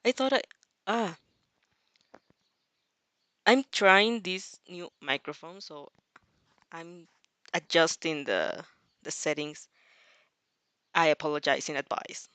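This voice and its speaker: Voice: mid-range voice, takes it slowly, long pauses, pushed and bright, clean tone, dry recording. Giggly young streamer, close dry sound. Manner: giggly, playful, rambling with self-interruptions. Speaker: host of a fan fiction reading stream. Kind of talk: casual livestream chat and dramatic read-along reaction.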